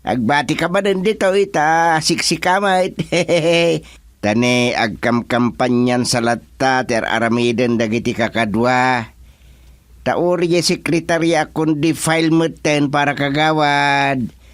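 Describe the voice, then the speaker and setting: An actor with an Ilocano Filipino accent playing a jolly, secretive older village chairman in a radio comedy, with drawn-out delivery. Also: mid-range voice, dry recording, lightly acted. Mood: jolly, bossy. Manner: chuckling, drawn-out, exaggerated delivery.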